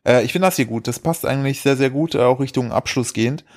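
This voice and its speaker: Male podcast co-host, mid-pitched, halting, easygoing. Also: darkish tone. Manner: hesitant, many fillers and restarts. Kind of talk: casual podcast chat.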